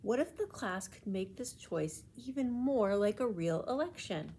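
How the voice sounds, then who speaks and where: A woman narrates a children's storybook with deliberate, expressive emphasis. Her voice sits at a medium pitch, and faint noise lies behind the recording. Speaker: librarian reading a children's book aloud.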